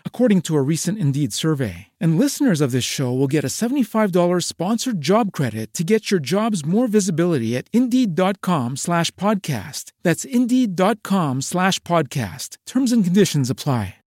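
A man's voice: sits high, sing-song, normal speed, few pauses, a little mellow, no echo, studio quality. A man delivering a scripted podcast advertisement as its announcer.

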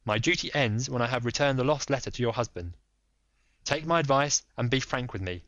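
A man voicing a calm, commanding detective in a measured, insistent way. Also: medium pitch, no echo, pitched above the performer's own voice, lightly acted. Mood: urging. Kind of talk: audiobook reading.

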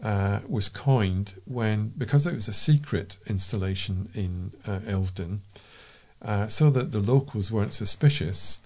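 A British man reads feature pieces aloud as a volunteer for a local talking newspaper. His voice is dark and relaxed, sitting on the low side. The delivery is informal and a little unpolished. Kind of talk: reading feature articles aloud with informal asides.

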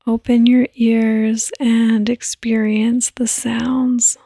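Very slow, breathy woman guiding sleep meditation. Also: on the high side, no echo. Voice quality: soft, breathy, partly whispered. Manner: calm, gentle instruction with long pauses. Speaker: podcast host and meditation guide. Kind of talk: guided sleep meditation, scripted and soothing.

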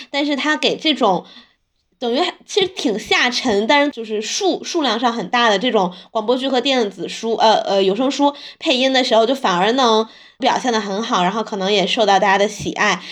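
Woman on a podcast, slightly high voice, casual chatty style. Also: a little fast. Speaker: podcast host. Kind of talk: casual chat.

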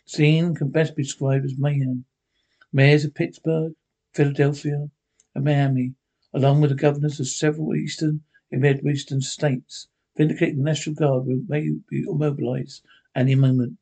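A man reading an emergency radio news bulletin in a measured, formal way. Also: fairly high pitch, level tone, vocal fry, dark tone, breathy edge, poor audio.

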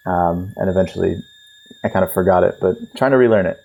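Very low, mellow-voiced young man. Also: fast talker, creaky, poor audio. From Israel. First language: Russian. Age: 20s. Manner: easygoing storyteller with fillers. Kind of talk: casual chat, telling family stories.

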